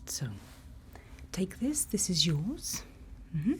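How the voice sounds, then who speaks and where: Woman doing asmr roleplay as a calm night watch guide, whispery and low, real slow n instructive, bit of faint ambience. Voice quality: breathy whisper. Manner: unhurried, instructive, reassuring. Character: calm female night-watch guide in a dark monster-filled forest. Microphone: close microphone. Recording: faint background ambient noise.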